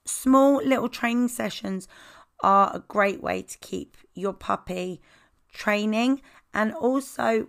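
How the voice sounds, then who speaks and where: A woman hosting a dog-training podcast, her voice hoarse and husky, explaining things in calm, measured steps. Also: a little high. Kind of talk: informative podcast talk.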